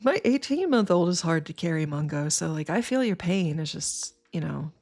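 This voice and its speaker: A woman's voice: on the low side, sing-song, normal speed, darkish tone. A tired woman in her thirties, sniffly and congested, chatting casually with her livestream viewers in a rambling way. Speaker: streamer.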